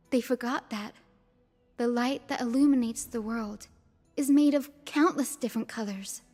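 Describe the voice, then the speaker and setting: Brief: a soft-spoken, earnest young sky maiden; a fairly high voice, lingering reverb, faint music beneath. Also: female voice. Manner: soft, earnest storyteller, rising to solemn invocation. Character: gentle earnest young woman of sky-dwelling lineage. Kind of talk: game cutscene voice acting.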